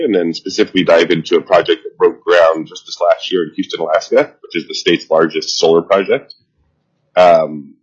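A man with a stuffy head cold presents over a video call, his low, husky voice coming through a dull, muffled line. He speaks in a halting way, full of ums and restarts. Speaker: nonprofit CEO presenting to a committee. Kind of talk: formal remote presentation.

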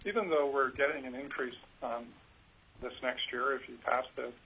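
A soft-spoken man, a school superintendent, giving earnest testimony at a legislative hearing with frequent ums. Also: medium pitch, steady tone, normal speed, a little hiss or hum. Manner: earnest, hesitant with fillers. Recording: lo-fi teleconference line.

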